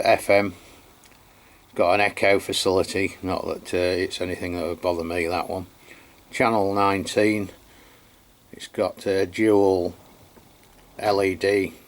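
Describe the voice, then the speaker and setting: An Englishman giving an unscripted product review and demonstration, chatty and matter-of-fact, with a halting delivery. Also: low voice. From England. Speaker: radio reviewer.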